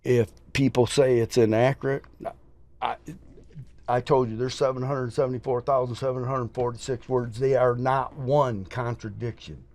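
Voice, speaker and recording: Earnest preacher with a scratchy voice. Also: male voice, medium pitch, steady tone, normal speed, a little mellow. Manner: earnest, emphatic, folksy storytelling. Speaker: preacher, podcast guest. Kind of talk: casual interview talk.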